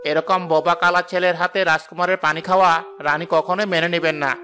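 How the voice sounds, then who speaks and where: Bright, forceful cartoon royal adviser played by a man. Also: on the high side, little pitch movement, a little fast. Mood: deferential. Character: solemn, deferential royal adviser. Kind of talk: cartoon fairy tale voice acting. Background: background music.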